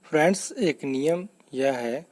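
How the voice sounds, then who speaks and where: Slow, mellow man teaching step by step. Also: fairly high pitch. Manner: deliberate, step-by-step, instructive. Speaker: English grammar teacher. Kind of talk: scripted lesson explanation.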